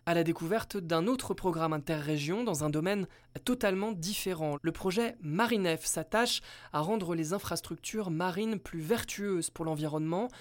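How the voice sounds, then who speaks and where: A woman reads a scripted news voice-over in a fairly low, measured voice. The sound is dry and close with no room echo. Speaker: narrator. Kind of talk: scripted narration.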